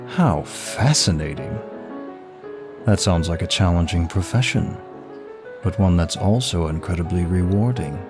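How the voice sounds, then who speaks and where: A man playing a suave, seductive demon of lust in an intimate audio roleplay, flattering the listener in a formal, flirtatious manner. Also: sits low. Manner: smooth, flirtatious, formal, unhurried. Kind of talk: intimate ASMR-style roleplay, one side of a dialogue. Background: music.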